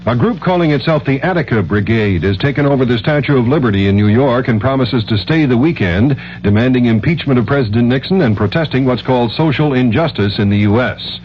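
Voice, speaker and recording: American male network news anchor, mid pitched, reading copy on dull old radio with faint hum. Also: lively intonation, fairly quick, hardly any pauses. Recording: muffled, humming 1970s radio broadcast.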